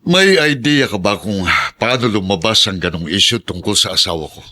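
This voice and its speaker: An actor playing an angry, suspicious husband and mayor in a Filipino radio drama, confrontational and emphatic in delivery. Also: male voice, fairly low pitch, animated, normal speed, bright, forceful tone. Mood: troubled, suspicious. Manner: confrontational, emphatic, stammers when upset.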